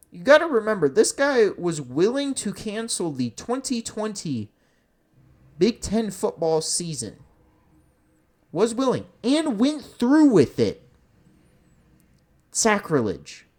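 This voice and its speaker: A sports fan delivering an unscripted, opinionated rant in an exasperated, emphatic, profane way, often halting and repeating phrases. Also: medium pitch.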